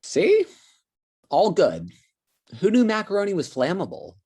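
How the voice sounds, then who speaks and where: A man's voice: high-pitched, wildly swooping pitch, measured pace, warm tone, husky, full character voice. A man playing a defensive, casual slacker of a brother in an amateur table read over a video call. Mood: breezy confidence.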